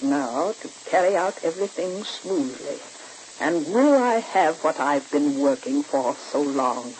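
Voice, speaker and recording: Cold woman schemer, commanding, emphatic, animated intonation, 1940s radio soap, faint hiss. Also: low voice, a little slow, poor audio. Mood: scheming, commanding. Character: scheming woman villain, cold, bossy, coolly plotting. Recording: hissy old radio recording.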